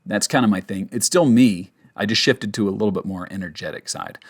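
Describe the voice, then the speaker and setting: Low-voiced man giving a casual tutorial. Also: a little fast, breathy edge. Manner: emphatic, conversational, checks in with "okay?". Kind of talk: casual instructional talk with demonstrations.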